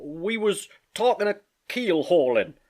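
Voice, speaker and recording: A man's voice: high voice, very animated, measured pace, a little mellow, pitched above the performer's own voice. A man voicing a sheepish, dim old grey-haired sailor in an audiobook, with rustic, deferential talk. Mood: sheepish, evasive. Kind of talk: audiobook character acting.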